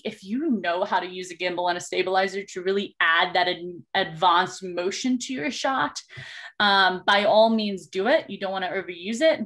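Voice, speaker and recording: A woman's voice: mid-range voice, fairly bright tone. A woman from South Africa with a South African accent, teaching an online video workshop over a video call in a chatty, explanatory way with frequent ums.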